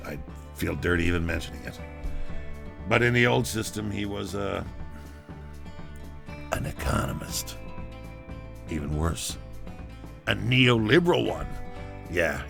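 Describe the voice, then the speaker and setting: A wry, rough-edged rebel storyteller, speaks low with a very heavy creak. He is foul-mouthed and sardonic, spinning a first-person audio drama tale in a chatty yet theatrical manner. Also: a bit bright, exaggerated voice. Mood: mock disgust. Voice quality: gravelly, low.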